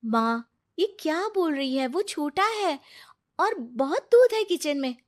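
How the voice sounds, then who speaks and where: A dutiful young daughter from a cartoon family drama, played by a woman. Her voice is fairly high and she speaks earnestly and obediently, as a kind-hearted girl who looks after her family. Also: expressive, slow. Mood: protesting. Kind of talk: Hindi cartoon dubbing.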